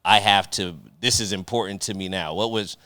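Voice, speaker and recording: A man's voice: deep voice, fairly even intonation, moderate pace, pushed and bright, no room sound, clean studio sound. A man hosting a podcast, chatting casually in slangy, conversational language and working his way toward each question. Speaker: podcast host. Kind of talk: casual interview with joking asides.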